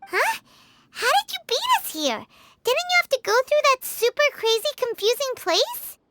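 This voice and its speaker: A woman voicing a tiny, childlike, excitable fairy companion in a video game, squeaky and exclamatory. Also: moderate pace, noisy background. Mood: excited, curious. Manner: exclamatory, refers to self by name.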